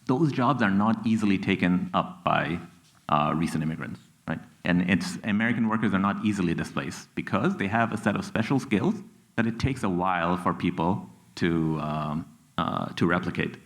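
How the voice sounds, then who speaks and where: A middle-aged man with a Bangladeshi accent answers on a panel, his low voice steady as he explains. He keeps checking that the audience follows him, tagging points with "okay?" and "right?". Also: moderate pace. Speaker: economics professor, panelist. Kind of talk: panel discussion. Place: stage at a public event.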